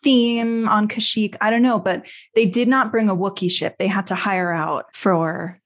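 A woman's voice: medium pitch, unhurried. A woman co-hosting a podcast in casual conversation, talking like an enthusiastic fan. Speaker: podcast co-host.

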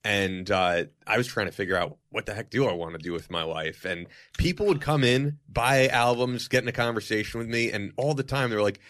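Male, 30s, smooth low baritone radio voice, earnest podcast host. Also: a little fast, few pauses, a bit bright. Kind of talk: casual podcast chat.

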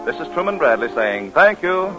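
High-pitched male announcer over loud music. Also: very animated, fairly quick. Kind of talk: scripted 1940s radio announcing. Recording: old radio broadcast with loud music.

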